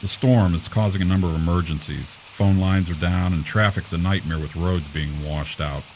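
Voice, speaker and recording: A man playing a gruff, irritable police commissioner in an audio mystery drama, brusque, sarcastic and impatient. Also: sits low, moderate pace, background noise, natural voice. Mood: businesslike, grumpy.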